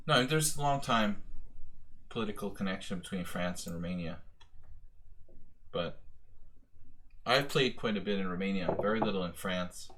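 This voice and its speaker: A man's voice: sits low, normal speed, long pauses, fairly bright tone. A man streaming his chess games, chatting casually with viewers and teasing his opponent while he thinks about his moves. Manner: teasing, mock-scolding the opponent. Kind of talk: casual live-stream commentary.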